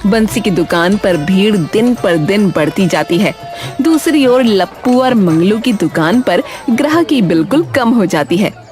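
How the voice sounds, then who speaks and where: A woman's voice: medium pitch, lively intonation, unhurried, darkish tone, soft background music. A woman narrator reading a scripted cartoon story.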